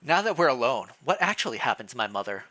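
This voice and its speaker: A man reads as a young royal heir from a fantasy storybook, weakened but stubborn. The voice is bright and the manner questioning and insistent. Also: mid-range voice, very animated, quick pace. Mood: insistent, disbelieving. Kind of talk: storybook character reading.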